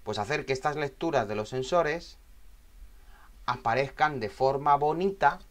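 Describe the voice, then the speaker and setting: Male tutorial instructor, medium pitch, informal and explanatory, frequent check-ins with the learner. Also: a little slow, a bit bright. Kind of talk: informal spoken screen tutorial.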